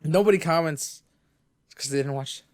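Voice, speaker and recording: A man's voice: a little high, moderate pace, darkish tone. Young canadian guy cohosting a podcast, jokey and teasing. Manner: joking, playful, teasing. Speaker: podcast co-host. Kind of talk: casual chat.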